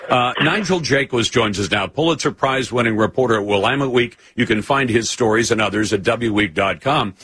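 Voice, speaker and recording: A man's voice: mid-range voice, brisk, hardly any pauses, a bit bright, slight background noise. A man hosting a call-in radio talk show, pressing callers hard with pointed questions and cutting in.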